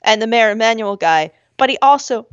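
A woman co-hosting a podcast from home, chatting and laughing, with a high voice, acting out quotes with squeals. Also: animated, a little fast, no room sound. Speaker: podcast co-host. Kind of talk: casual chat. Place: at home with a dog. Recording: dull, slightly lo-fi sound.

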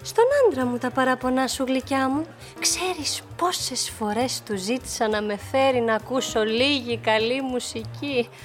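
A worldly older wife in a radio play. Her voice is high and theatrical as she gripes and teases with sugary endearments, with faint music behind her. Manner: theatrical, complaining, affectionate endearments. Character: older, worldly, teasing wife griping about men. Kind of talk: Greek radio drama acting.

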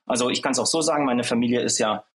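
Startup guy in an interview, lots of ähms and restarts, normal pitch. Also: fast talker. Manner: hesitant, many fillers and restarts. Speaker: startup founder guest.

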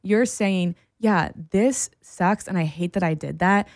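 A woman in her thirties hosts a podcast in a sincere, affirming way. Her voice sits slightly low. The recording is dry and close. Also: measured pace, a little mellow, no room sound. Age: 30s. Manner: earnest, affirming. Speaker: podcast host. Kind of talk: casual podcast conversation.

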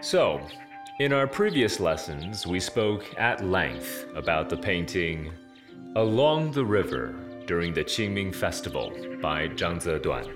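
An actor playing a stern, imperious headmaster of an imperial painting academy, formal and lecturing in a radio drama. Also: male voice, sits low, sing-song, a little slow, music in the background.

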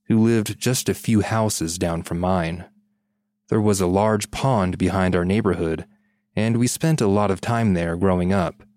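A man's voice: low voice, darkish tone, no room sound. A man narrating a scripted first-person scary story for a podcast. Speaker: podcast narrator reading listener stories.

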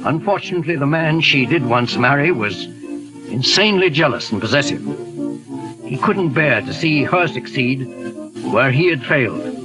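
An actor playing a steady, kindly older detective with a measured, reassuring manner in 1940s radio style. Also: male voice, mid-range voice, lightly acted, rough recording. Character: kindly, steady older male investigator, solemn. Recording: hissy 1940s radio recording, faint music.

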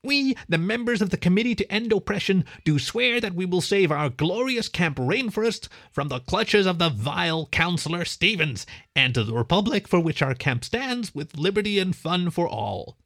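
A man's voice: sits high, very animated, normal speed, few pauses, a bit bright, no room sound, clean studio sound, voice pushed up, exaggerated voice. A man voicing a nervous, sulky young squirrel boy with a thin, reedy voice in a storybook. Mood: reciting reluctantly. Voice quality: slim, reedy. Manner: sulky, stubborn.

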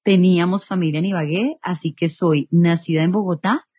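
A woman's voice: lowish voice, steady tone, moderate pace, dark tone, slightly rough, no echo. Reflective Colombian woman journalist, dry sound. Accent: Colombian Spanish. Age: 30s. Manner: thoughtful, reflective, rephrasing as she goes. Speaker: guest, journalist. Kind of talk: interview conversation.

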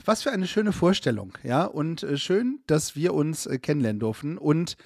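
Teasing man with a higher voice. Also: expressive, normal speed, gravelly, no echo, clean studio sound. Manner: teasing, chatty, hesitant with fillers. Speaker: guest interviewer. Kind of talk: casual conversation.

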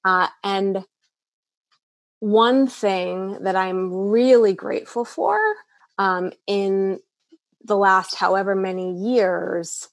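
A woman's voice: medium pitch, takes it slowly, no echo. A woman clinician answering interview questions earnestly, with frequent restarts and fillers. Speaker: eating disorder clinician, guest.

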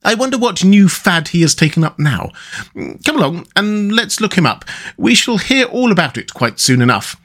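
A man's voice: high-pitched, sing-song, moderate pace, fairly bright tone, pitched above the performer's own voice. A man voicing a cheerful, good-natured water rat in a storybook, chatty and emphatic. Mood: brisk, curious. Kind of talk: storybook character dialogue.

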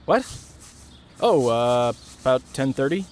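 A man's voice: mid-range voice, animated, slow pace, darkish tone, a little hiss or hum. A man playing a smug, impatient heir of a small-town family in a naturalistic audio drama. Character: entitled, irritable male heir to small-town family fortune.

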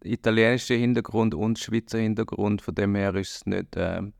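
Low gravelly hesitant Swiss German man. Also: steady tone, normal speed, darkish tone, no room sound, studio quality. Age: 40s. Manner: hesitant, many fillers, reflective. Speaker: podcast guest. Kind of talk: casual chat.

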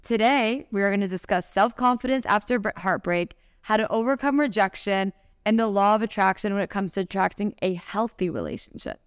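A young woman hosting her own podcast, bubbly and chatty and quick to laugh. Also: medium pitch, clear, resonant tone, dry recording, clean studio sound. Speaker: podcast host. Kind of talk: casual interview chat.

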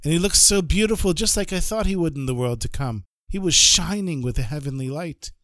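A man plays a calm, heartfelt disciple recounting his past in an even, earnest voice. The voice sits a touch higher than usual, close and dry. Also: brisk, no echo.

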